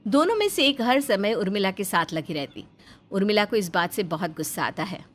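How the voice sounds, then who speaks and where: A woman's voice: medium pitch, expressive, normal speed, fairly bright tone. A woman reading scripted narration as the storyteller of a cartoon family tale.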